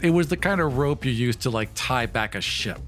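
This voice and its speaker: Mid-pitched man playing a tabletop game. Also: animated, a little fast, fairly bright tone. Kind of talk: casual game talk and improvised narration.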